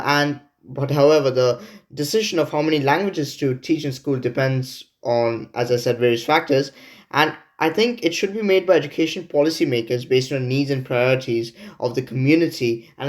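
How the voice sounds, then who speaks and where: A teenage student from India hosting a discussion podcast, talking in a measured way with frequent pauses and fillers. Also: male voice, medium pitch. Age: teens. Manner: measured, with many hesitations. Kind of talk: casual discussion.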